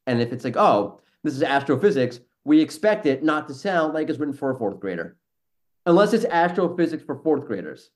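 A man's voice: on the high side, very animated, fairly quick. A man hosting a casual podcast, talking in a blunt, sarcastic and mildly profane way. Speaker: podcast host, SEO professional and former teacher.

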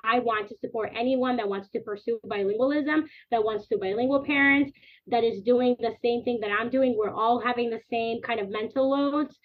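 A woman in her thirties who grew up in the Dominican Republic talks as an interview guest, her voice sitting slightly high. She speaks earnestly and with animation, stringing long clauses together. The sound is narrow and tinny, like a phone call. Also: normal speed. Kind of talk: podcast interview.

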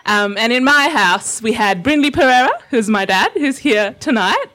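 A woman's voice: fairly high pitch, lively intonation, moderate pace. Reflective woman from Sri Lanka, storytelling. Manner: warm, reflective, with fillers and small laughs. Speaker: invited speaker. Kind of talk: personal storytelling talk to an audience.

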